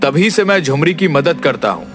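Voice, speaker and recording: A man's voice: a little high, sing-song, normal speed. A man voicing a stern, heroic police inspector with a commanding manner in a cartoon story. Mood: explaining. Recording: music behind the voice.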